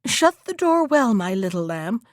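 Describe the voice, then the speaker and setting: Cunning storybook wolf playing frail grandmother, sly and coaxing, very animated intonation, acted by a woman. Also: medium pitch, unhurried, no echo, clean studio sound. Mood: feigned sweetness. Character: cunning male wolf disguised as an old granny.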